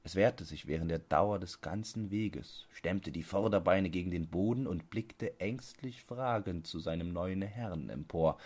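Male audiobook narrator, literary reading, low pitch, unhurried pace, expressive intonation. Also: husky.

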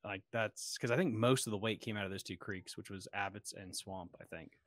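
A man's voice: fairly low pitch, fairly quick, slight background noise. A man in his thirties hosting a casual group podcast chat, talking into a close desk microphone. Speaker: podcast host.

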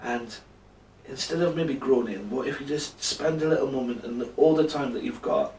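A man in his thirties telling a children's Bible bedtime story with drawn-out emphasis, seated indoors. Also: mid-range voice, darkish tone, slight background noise. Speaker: storyteller for a church. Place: indoor room, seated before a backdrop. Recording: roomy, echoing, distant microphone.

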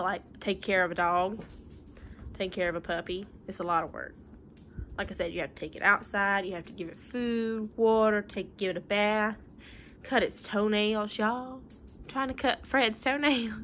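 A young woman talks like a teacher to small children, plain-spoken and folksy. Her voice is bright and forward, at a middling pitch. It is recorded at home on a device mic with slight background noise. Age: young adult. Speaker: schoolteacher. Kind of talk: informal talk to young pupils and parents. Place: living room at home.

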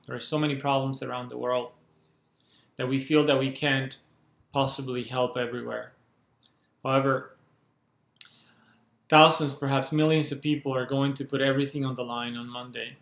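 A man in his thirties from Cuba makes a heartfelt appeal straight into a webcam. He speaks in measured, urgent phrases at a middle pitch. The small room at home gives his voice a noticeable echo. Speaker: activist making an appeal. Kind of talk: earnest direct-to-camera appeal. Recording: roomy echo from a bare room.

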